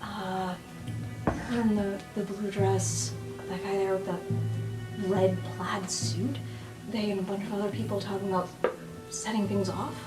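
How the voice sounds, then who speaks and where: A woman's voice: mid-range voice, level tone, unhurried, long pauses. A woman playing casually in an improvised tabletop roleplay game, describing her character's moves a little tentatively. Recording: roomy, distant mic, music behind.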